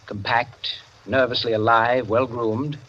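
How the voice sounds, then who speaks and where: A man giving measured, formal, wry literary narration in the style of 1940s radio drama. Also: medium pitch, steady tone, slight background noise. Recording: muffled vintage radio recording with loud music. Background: music.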